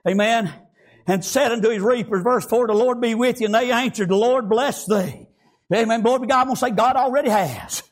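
A man preaching a revival sermon, fervent and often shouting, with constant "praise God" and "amen". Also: extremely high pitch, fairly quick.

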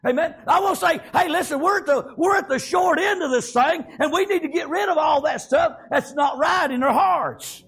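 A man's voice: extremely high pitch, moderate pace, hardly any pauses, a bit bright, shouting. A man with a Southern American accent preaching a fervent revivalist sermon in a folksy way, peppered with exclamations of praise. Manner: folksy, colloquial.

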